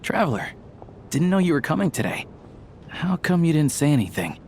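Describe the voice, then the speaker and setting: A man's voice: on the high side, big pitch swings, brisk, slight background noise. An actor voicing a friendly, capable young male theater worker in a game cutscene, easygoing and helpful.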